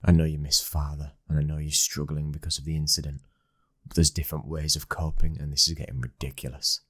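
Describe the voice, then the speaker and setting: A British man acting a commanding mafia boss's son, a stern but loving husband, in an intimate ASMR roleplay with a husky voice. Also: a bass voice, level tone, quick pace, fairly bright tone. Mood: stern, exasperated.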